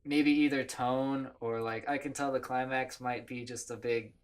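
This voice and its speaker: Male podcast co-host, relaxed and hesitant, filler-heavy casual delivery, mid-range pitch. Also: normal speed. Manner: laid-back, hesitant, lots of "like". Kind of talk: casual chat.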